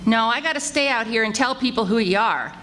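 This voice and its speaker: A woman's voice: fairly high pitch, brisk, a bit bright, lightly acted. A woman playing a patient, wry, homey wife who teases her husband in an old-time radio comedy. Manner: homey, teasing. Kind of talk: 1940s radio comedy acting before a live audience. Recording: noisy live stage recording.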